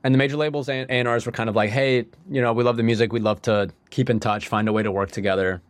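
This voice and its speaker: Fast-talking young man, sniffly with a cold. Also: medium pitch, steady tone, no room sound. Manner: rambling, heavy use of "like", frequent restarts. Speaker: musician guest. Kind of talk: casual podcast conversation.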